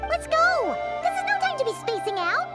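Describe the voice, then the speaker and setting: A woman voicing a tiny, excitable, outspoken fairy companion in a video game, with a chirpy, emphatic delivery. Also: very high voice, normal speed, soft background music, exaggerated voice. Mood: feisty, scolding. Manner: emphatic, chirpy, scolding and blunt. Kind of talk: English video game voice acting.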